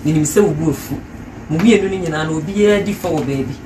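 A man's voice: a little high, rapid-fire, reverberant, far from the mic, noisy background. A man mediating a quarrel, lecturing both sides with growing emphasis.

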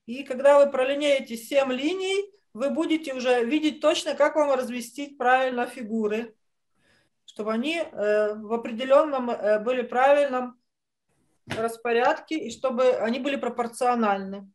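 High-voiced woman teaching drawing. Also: normal speed. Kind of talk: step-by-step online lesson instruction. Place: indoors, on a video call. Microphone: computer mic on video call.

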